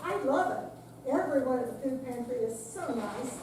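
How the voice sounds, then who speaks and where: An amateur reader as a high-voiced, kindly retired woman. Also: lively intonation, normal speed. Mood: cheerful. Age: older. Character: cheerful, kindly retired woman, supportive naive friend. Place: senior center, recorded from afar. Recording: far-off echoey room, clear background noise.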